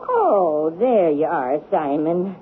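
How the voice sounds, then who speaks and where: An actress playing a prim, pious elderly cousin in a 1970s radio drama, gentle but unbending and properly spoken. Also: female voice, low-pitched, very animated, loud music behind the voice, full character voice. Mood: genteel, kindly. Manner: proper, moralizing, deliberate. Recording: tinny, noisy old radio broadcast.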